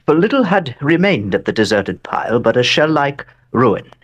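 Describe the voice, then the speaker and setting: An aging, brooding gentleman telling his own gothic tale in an audio drama. His voice sits at a medium pitch, and he speaks deliberately and gravely, letting phrases hang between weighty pauses. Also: very animated, muffled recording. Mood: grave, ominous. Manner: measured, deliberate, solemn delivery with dramatic pauses. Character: old bereaved wealthy American man, brooding gothic narrator. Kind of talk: dramatic first-person horror narration.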